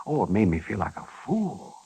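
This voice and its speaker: An actor playing a crusty, garrulous older town doctor in a radio western, folksy and emphatic, with an American accent. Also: male voice, fairly low pitch, huge pitch swings, moderate pace, creaky, dark tone, husky, exaggerated voice. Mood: chatty, rueful. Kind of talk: 1950s radio western acting. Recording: muffled vintage radio recording.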